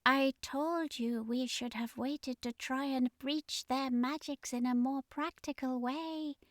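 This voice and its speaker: A woman's voice: high voice, steady tone, takes it slowly, no room sound, clean studio sound, pitched above the performer's own voice. A woman voicing a resentful alien underling in a science fiction story, grumbling and fretful. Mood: grumbling worry.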